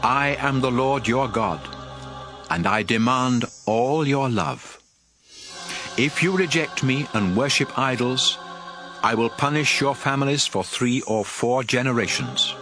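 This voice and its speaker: A man's voice: mid-range voice, a little slow, music in the background, lightly acted. An actor playing a solemn, commanding male prophet who addresses his people with emphatic oratory in a dramatized audio Bible.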